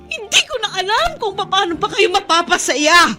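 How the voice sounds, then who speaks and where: A very high, bright Filipino woman's voice as a weary eldest daughter. She is self-sacrificing and pleading, full of emotion in a radio drama. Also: sing-song, moderate pace, faint music behind, exaggerated voice. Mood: anguished.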